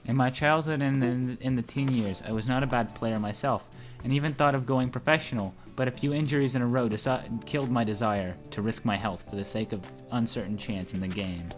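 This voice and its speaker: A man's voice: mid-range voice, a little fast, faint music behind. A man playfully narrating a visual novel aloud for a gaming video.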